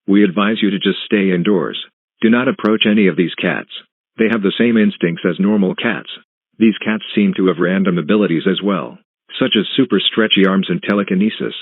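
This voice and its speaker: A man reading a scripted emergency broadcast announcement in a formal, flat, instructional manner. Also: sits low. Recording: tinny phone-like band, echoing.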